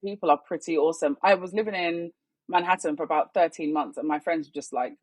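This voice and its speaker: A woman's voice: low-pitched, quick pace, a little mellow, no echo, rough recording. A young woman with a West London accent, a guest chatting expressively and laughing often. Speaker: guest, workplace culture consultant. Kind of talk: casual interview chat.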